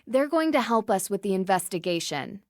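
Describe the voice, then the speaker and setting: An actress plays a young woman detective in a video game. She is earnest and methodical, with a mid-pitched voice and determined manner. The recording is very dry. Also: big pitch swings, brisk, no echo, lightly acted. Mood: explaining. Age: young adult. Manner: clear-headed, methodical, explanatory.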